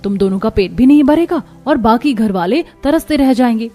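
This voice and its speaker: A woman voicing a practical, caring young mother in a cartoon story, gently firm. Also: on the high side, lively intonation, a little fast, faint music behind. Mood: gently persuading. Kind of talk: Hindi cartoon story acting.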